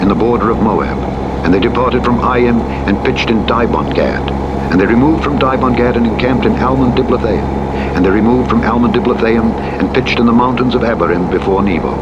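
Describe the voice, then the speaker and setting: A man narrating the Bible in a measured, solemn recitation, reading from a script. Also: medium pitch, animated. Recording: muffled playback under loud vehicle engine noise. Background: loud truck simulator engine drone.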